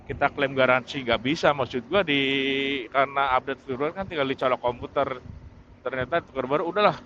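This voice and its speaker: A man's voice: mid-range voice, bright, forceful tone. A man chatting casually and hesitantly, rambling and repeating himself as he vlogs. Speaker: motovlogger reviewing his camera. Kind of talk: casual unscripted vlog chat. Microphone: wireless mic through helmet intercom. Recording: muffled intercom mic with clear vehicle noise. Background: vehicle and road noise.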